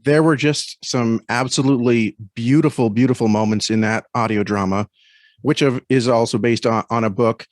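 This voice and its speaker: A man speaking as an interview guest in a thoughtful, conversational way, often halting as he searches for words. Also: medium pitch, fairly even intonation, dry recording.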